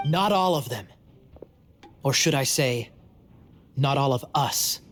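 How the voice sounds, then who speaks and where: A man playing a suave young knight in a video game, talking in a wry, teasing, smooth way. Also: medium pitch, expressive, slow pace, over music. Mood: amused. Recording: faint background ambience noise.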